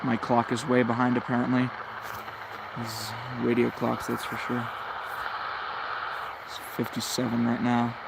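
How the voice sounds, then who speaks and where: A man's voice: medium pitch, completely flat delivery, a little slow, gravelly, long pauses, heavy background noise. A man hosting a casual live radio-tuning session, thinking aloud as he comments. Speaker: shortwave radio hobbyist host.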